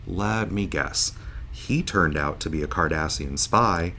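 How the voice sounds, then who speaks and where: A man's voice: low-pitched, a little slow, bright, forceful tone, slight background noise, full character voice. A man playing a bitter, wry starship officer woken from a long coma, in a science fiction audio drama. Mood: sardonic, bitter. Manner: sarcastic, wry, emotional.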